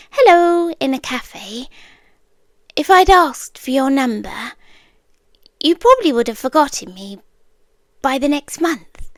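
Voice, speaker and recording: A woman performs a yandere girlfriend for ASMR roleplay, a British woman with a high, hushed voice. She speaks very slowly and deliberately, drifting into whispers. The sound is dry and intimate, and her tenderness carries a calm, obsessive menace. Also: no echo, exaggerated voice. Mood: wistful, menacing. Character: soft-spoken obsessive girlfriend stalker, calm, coolly intense, yandere.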